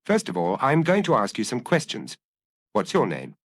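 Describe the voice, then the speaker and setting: A man voicing a patient police inspector in an audiobook, asking direct questions in a measured, probing way. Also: medium pitch, huge pitch swings, no room sound, clean studio sound. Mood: calm, probing. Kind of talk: dramatised audiobook dialogue.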